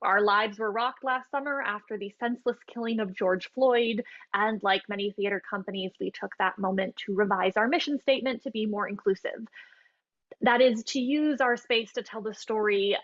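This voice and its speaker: A woman's voice: mid-range voice, normal speed, a bit bright, natural voice. A woman playing an earnest, businesslike casting director in an online play, courteous and explanatory. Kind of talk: naturalistic dialogue in an online one-act play. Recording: slightly muffled video-call audio.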